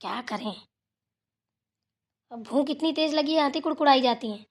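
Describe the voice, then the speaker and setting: A woman's voice: sits high, normal speed. A woman voicing a grumbling but caring elderly village mother-in-law in a colloquial, fussing Hindi cartoon family drama. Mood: grumbling, hungry.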